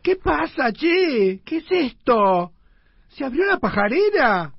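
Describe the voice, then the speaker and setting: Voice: sits high, big pitch swings, slow pace, vocal fry, highs cut off, a little hiss or hum, heavily acted. An actor playing a cocky, stubborn tenement man in a comic radio play, slangy and emphatic, with an Argentine accent. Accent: Argentine, Buenos Aires slang.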